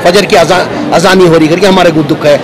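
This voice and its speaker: A man with a distinctly high voice and a Bangalore Dakhni accent explains things to the press. He repeats himself in colloquial phrasing, with clear street noise around him. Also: fairly even intonation, quick pace. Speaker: politician. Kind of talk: informal speech to press. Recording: noisy outdoor urban surroundings.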